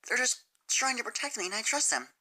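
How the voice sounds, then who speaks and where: A woman voicing a timid, kind, soft-spoken teenage girl in a fan story reading, hesitant and gentle. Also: a little high, very animated, fairly quick, voice pushed up, full character voice. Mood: apologetic.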